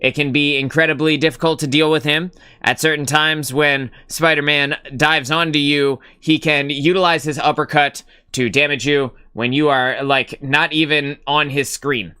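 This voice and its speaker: Young male stream host, clear bright tone, casual and rambling, swears freely. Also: on the high side, fairly even intonation, moderate pace, hardly any pauses. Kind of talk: casual gaming stream chat.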